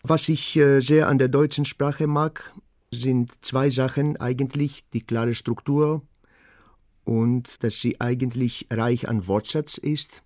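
A middle-aged man from Greece, a German teacher, speaking German with a Greek accent and pausing often with fillers as he talks about himself. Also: mid-range voice, fairly even intonation, a little mellow, dry recording, clean studio sound. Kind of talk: personal self-portrait talk.

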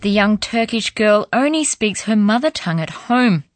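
A woman's voice: medium pitch, expressive, dry recording. Woman narrating a language lesson, dry. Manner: measured, explanatory. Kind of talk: scripted narration.

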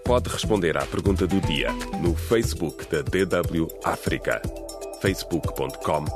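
A man's voice: deep voice, lively intonation, unhurried. A man reading scripted station announcements for radio. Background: loud jingle music.

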